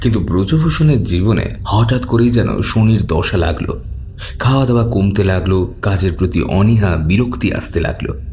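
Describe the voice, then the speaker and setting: A man reading scripted horror fiction as a narrator, measured and suspenseful. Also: on the low side, animated, dark tone, slight background noise. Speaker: story narrator.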